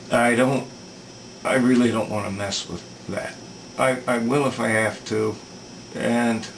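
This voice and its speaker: A man talks through a hands-on technical repair at a very unhurried pace, pausing to think aloud. His voice is on the low side with a gravelly fry. He sounds some way from the microphone, with clear noise behind him. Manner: halting, thoughtful, thinking aloud. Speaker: repair video maker demonstrating electronics work. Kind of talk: casual unscripted technical explanation. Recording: distant mic with clear background noise.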